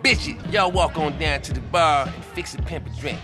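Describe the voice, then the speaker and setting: An actor playing a boastful, flamboyant street pimp in a video game, talking in slangy, sing-song jive. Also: male voice, fairly high pitch, moderate pace, music in the background, natural voice. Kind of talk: video game cutscene acting.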